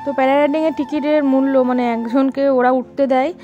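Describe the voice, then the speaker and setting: A woman's voice: high voice, steady tone, fast, muffled recording. A woman in her thirties vlogging a family trip, talking casually to her phone camera held at arm's length. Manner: chatty, tired and complaining in the morning. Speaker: travel vlogger. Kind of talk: casual travel vlog narration. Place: hotel room, then outdoors on a trip. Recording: background music under voice. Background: music.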